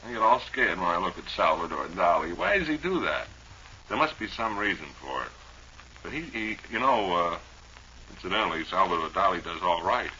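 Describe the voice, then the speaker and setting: Low, bright-voiced man on old radio. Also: sing-song, fairly quick. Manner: wry, rambling, playful. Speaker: radio host. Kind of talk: casual comic radio banter. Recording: dull old radio recording, faint noise.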